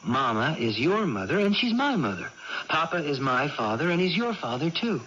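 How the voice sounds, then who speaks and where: An actor playing an earnest, patient young man, gently instructing his sister in a radio drama. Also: on the high side, sing-song, normal speed. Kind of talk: 1980s radio drama acting. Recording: dull, hissy old radio broadcast recording.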